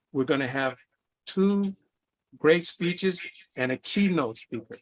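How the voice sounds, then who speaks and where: A man's voice: on the high side, fairly bright tone, poor audio. A man acting as master of ceremonies on a video call, giving semi-formal introductions.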